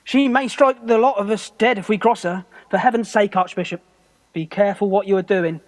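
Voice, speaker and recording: A woman's voice: mid-range voice, a little fast, close to an everyday voice. A woman acting a superstitious, God-fearing army captain in a radio play, earnest and plain-spoken. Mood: awed. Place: actor's home, recorded remotely.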